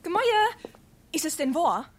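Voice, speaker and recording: A woman's voice: high voice, lively intonation, moderate pace. An actress playing a curious, gossiping neighbour woman in a dialect stage comedy, with a Darmstadt Hessian accent. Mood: curious, alarmed. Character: Evche, gossiping female neighbour, breathlessly curious.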